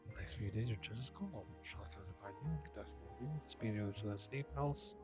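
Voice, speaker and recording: A man's voice: fairly low pitch, quick pace. A man reading video game dialogue and narration aloud, stumbling over words and correcting himself. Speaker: game streamer. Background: game music.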